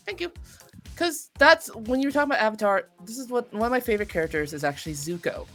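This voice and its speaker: A woman chatting casually as an interview guest, excitable, effusive and expressive in the way she talks. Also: on the high side, quick pace, faint music behind. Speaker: voice actor guest being interviewed.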